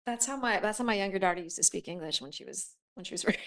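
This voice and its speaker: A woman's voice: medium pitch, lively intonation, rapid-fire, a bit bright. A woman hosting a casual podcast interview, eager and curious, asking questions and often cutting in. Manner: eager, curious, talks over guest. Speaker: podcast host.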